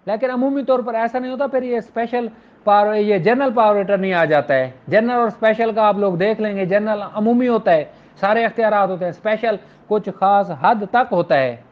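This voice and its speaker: High-pitched Pakistani man lecturing, dull audio. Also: normal speed. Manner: didactic, informal, switches between Urdu and English legal terms. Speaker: law lecturer. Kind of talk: explanatory lecture, reading statutes aloud then explaining them.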